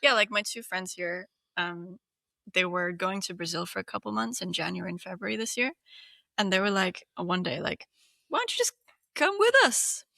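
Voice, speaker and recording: A woman in her thirties, from Hong Kong, talks casually on a podcast, leaning on fillers as she thinks aloud. Her voice has a gravelly edge but a bright, forward tone. The sound is dry and close. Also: on the low side, animated, moderate pace, no echo. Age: 30s. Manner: chatty, many fillers, laughs often. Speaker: podcast co-host. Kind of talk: casual chat.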